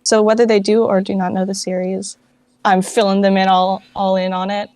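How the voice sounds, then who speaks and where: A woman speaks as a guest in a casual interview, in an informal way full of "like". Her pitch is average for a woman and the sound is dry, without room echo. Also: level tone, moderate pace, a little mellow. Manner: informal, filler-heavy with frequent "like". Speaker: guest, fan project director.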